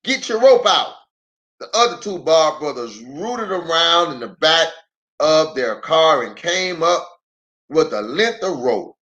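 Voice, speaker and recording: A man reading a children's story aloud in an expressive storytelling style. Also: fairly high pitch, measured pace.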